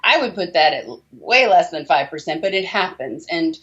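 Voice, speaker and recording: Low-voiced woman, slightly muffled. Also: expressive, normal speed, a bit bright. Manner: emphatic, rhetorical questions with tag checks like "okay?" and "right?". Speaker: doctor interviewed as guest. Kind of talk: explanatory interview talk. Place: small indoor room. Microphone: video call, computer at desk distance. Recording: dull lo-fi video call audio.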